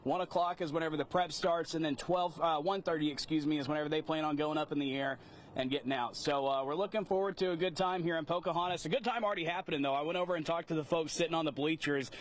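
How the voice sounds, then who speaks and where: A man's voice: fairly high pitch, steady tone, quick pace. A young man giving an upbeat, rambling live TV field report outdoors at a small airport, talking into a handheld mic. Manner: upbeat, rambling, repeats "cool".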